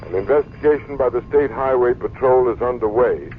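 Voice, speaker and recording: A man plays an old-time radio newsreader giving a scripted bulletin. He is formal and matter-of-fact, at a medium pitch. The recording is tinny and faintly noisy. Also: brisk, lightly acted.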